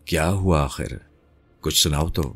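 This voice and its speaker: A man voicing an anxious, impatient wife in an audio story, questioning and urging. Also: extremely low pitch.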